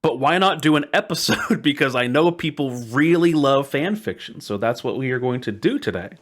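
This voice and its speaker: A man's voice: medium pitch, animated, moderate pace. A man hosting a casual podcast chat in a playful, jokingly ceremonious way. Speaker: podcast host.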